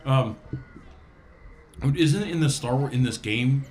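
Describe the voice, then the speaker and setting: A man playing a tabletop role-playing game, chatting casually and haltingly, often repeating his words. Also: medium pitch, lively intonation, darkish tone, a little hiss or hum.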